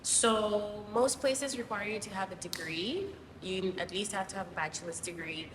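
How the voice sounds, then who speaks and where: A woman from Zimbabwe, a guest teacher, explaining things casually with many pauses and ums. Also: mid-range voice. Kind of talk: casual interview answers. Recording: distant mic, roomy hall, noisy.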